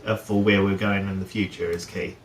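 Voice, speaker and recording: Middle-aged man from New Zealand, low voice, rambling interview guest, echoey room with faint hum. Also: little pitch movement, brisk. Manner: rambling, many fillers and restarts. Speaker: guest, head of procurement. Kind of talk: casual interview chat.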